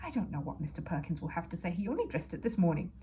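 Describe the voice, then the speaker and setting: A woman's voice: lowish voice, very animated, fast, dark tone, slight background noise. A woman voicing a stern, officious male store detective in a storybook. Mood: spluttering.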